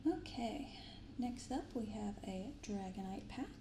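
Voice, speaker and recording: A woman speaking softly in an ASMR style, giving gentle, unhurried casual commentary as host of a card-opening video. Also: medium pitch, expressive, echoey room, background noise. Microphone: binaural microphone.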